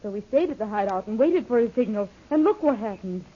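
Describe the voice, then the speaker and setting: High-pitched headstrong young heroine. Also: female voice, lively intonation, brisk, husky. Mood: determined. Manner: dramatic, insistent. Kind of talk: old-time radio serial acting. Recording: dull lo-fi old radio recording.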